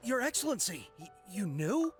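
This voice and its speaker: A young male soldier from an anime game. He is zealous and pleading, with a high, very animated voice. Music sits under him. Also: moderate pace. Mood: shaken. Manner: impassioned, pleading.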